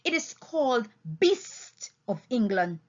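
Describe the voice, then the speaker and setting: A woman voicing an old male boar, a solemn, rousing orator addressing fellow animals in an audiobook, deliberate and emphatic. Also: fairly high pitch, sing-song, a little mellow, lo-fi sound, full character voice. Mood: reminiscing, solemn. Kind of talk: audiobook character speech and song.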